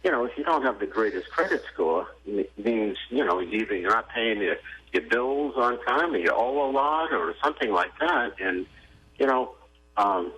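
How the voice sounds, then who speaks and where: Man, radio co-host, lively pitch, hesitant, skeptical, conversational. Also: normal speed, low-quality recording. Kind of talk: casual radio chat. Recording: AM radio broadcast.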